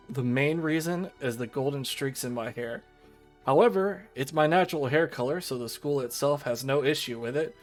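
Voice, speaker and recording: A man reading the visual novel narration of a fatalistic, aloof teenage schoolgirl outsider, in a measured storytelling way. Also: mid-range voice, lightly acted. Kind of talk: scripted visual novel narration, read aloud.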